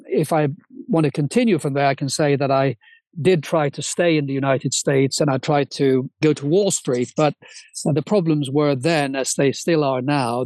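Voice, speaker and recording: A man in his sixties who grew up in Sweden, recalling his career as an interview guest. His voice sits fairly high for a man and the sound is close and dry. He talks fluently and eagerly, with a few small restarts. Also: darkish tone, dry recording. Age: 60s. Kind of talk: interview storytelling.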